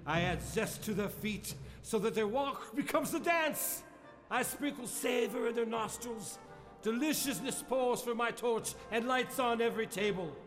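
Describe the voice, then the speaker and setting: A man playing a huge, jolly male Christmas spirit with a booming, hearty voice in a radio play. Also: a little slow, fairly bright tone. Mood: expansive. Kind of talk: radio drama acting. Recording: radio play with music underneath.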